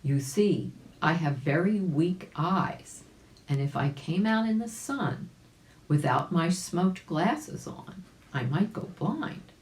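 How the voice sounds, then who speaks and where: A sly, boastful storybook bear, played by a woman reader, coaxing its prey in a slow, low-pitched voice. The delivery swings up and down, and the room rings a little around it. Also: animated, a little mellow, reverberant, low-quality recording. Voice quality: harsh when revealed.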